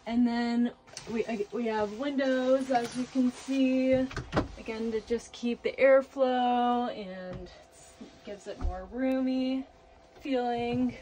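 Young woman, fairly high voice, easygoing tour guide, phone-filmed in a tiny wooden home, faint hiss. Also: slow pace, low-quality recording. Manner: relaxed, chatty, with fillers and small laughs. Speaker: tiny house owner giving a tour. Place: small wooden tiny house, indoors.

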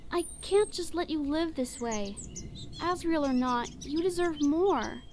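A woman voicing a gentle, determined human child in a comic dub, earnest and pleading. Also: high-pitched, expressive, measured pace, a little hiss or hum. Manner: soft-hearted, earnest, pleading.

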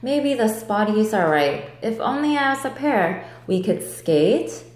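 A woman's voice: mid-range voice, big pitch swings, unhurried, a little mellow, roomy sound. A woman voicing a timid, wistful, eager male sock from a children's storybook, hesitant and plaintive.

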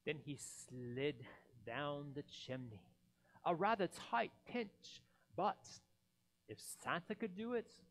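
A man in his forties reading a children's story theatrically, with dramatic, deliberate pauses between phrases. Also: on the high side, rough recording. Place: indoors in a church by a Christmas tree. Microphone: headset mic.

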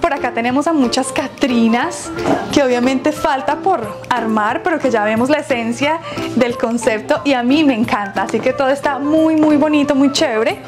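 High-voiced playful woman vlogger. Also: moderate pace, over music. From Colombia. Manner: chatty, playful, laughs at her own jokes. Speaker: vlogger touring a restaurant. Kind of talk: casual walk-through vlog. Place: large colorful restaurant interior, indoors. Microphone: lapel mic.

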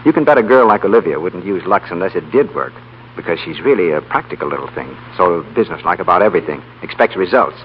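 A man's voice: low-pitched, lively intonation, fast talker. An American man working as an announcer, reading a scripted 1930s radio show and its advertising. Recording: muffled 1930s radio broadcast, faint hum.

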